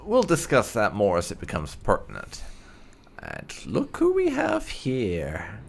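Man, low creaky voice, unhurried casual game commentary, faint music behind. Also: wildly swooping pitch. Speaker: gaming video host.

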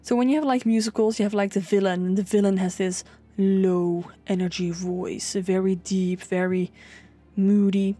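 A woman's voice: steady tone, moderate pace, dark tone. A young woman chatting casually over a build, rambling with lots of fillers. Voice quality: low singing voice. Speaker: sims speed builder.

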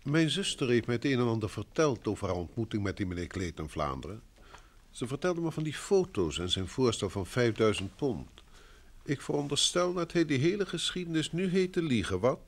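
Firm gentleman, lively intonation. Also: mid-range voice, fairly quick. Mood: protective, firm. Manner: courteous, formal, firm. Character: protective, sceptical gentleman brother, a grown man. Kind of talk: old Dutch radio play acting.

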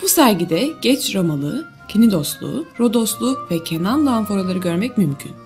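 A woman's voice: mid-range voice, very animated, normal speed, darkish tone, faint music behind. A woman reading a scripted museum tour narration as a documentary guide.

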